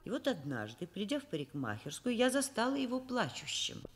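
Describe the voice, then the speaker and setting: A woman's voice: a little high, big pitch swings, brisk. An older actress playing a reflective, kindly and candid woman professor in a Soviet radio play. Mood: concerned, probing. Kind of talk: Soviet radio drama narration. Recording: vintage radio play, music underneath.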